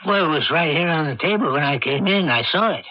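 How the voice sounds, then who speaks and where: Guy playing a nosy old granddad in an old radio show, high voice, blunt and folksy, muffled sound. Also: animated, quick pace, natural voice. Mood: suspicious. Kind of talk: radio drama acting. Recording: dull, noisy old radio broadcast.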